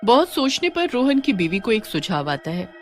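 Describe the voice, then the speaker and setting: A woman's voice: fairly high pitch, very animated, moderate pace, soft background music. A woman reading scripted narration for a cartoon story.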